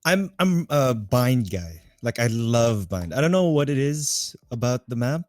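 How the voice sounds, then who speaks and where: A man co-casting an esports stream, talking in a relaxed, low-key way. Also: a little slow, no room sound. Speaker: co-caster. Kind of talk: casual live-stream casting.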